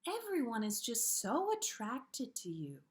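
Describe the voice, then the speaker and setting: A woman's voice: fairly high pitch, wildly swooping pitch, unhurried, pitched above the performer's own voice. A woman voicing a dreamy, wistful, self-assured teenage girl while reading a story aloud. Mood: wistful, earnest. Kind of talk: character dialogue in a story reading.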